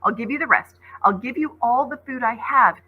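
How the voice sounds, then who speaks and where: A woman's voice: a little high, normal speed, slightly rough, phone-quality sound, pitched above the performer's own voice. A woman voicing a frightened but brave young girl in a storybook, speaking with urgent defiance. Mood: bargaining, determined.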